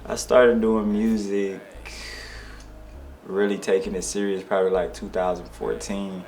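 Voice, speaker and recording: A young man from Detroit speaks in a very low voice. He rambles in a relaxed, casual way, restarting sentences and dropping slang as a rapper sitting for an interview. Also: unhurried, a little hiss or hum. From Detroit, USA.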